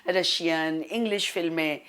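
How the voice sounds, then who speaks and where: A woman's voice: sits low, a little slow, a bit bright. A middle-aged Pakistani woman talking emphatically as a guest in a casual talk show conversation, repeating phrases to drive her point home. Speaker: guest, dubbing and voice-over artist.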